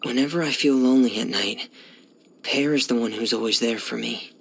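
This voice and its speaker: A man playing a shy, gloomy teenage boy diver in video game voice lines, speaking softly and hesitantly in a hushed, breathy voice. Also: mid-range voice, brisk. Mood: quiet, wistful. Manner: hesitant, reserved, subdued.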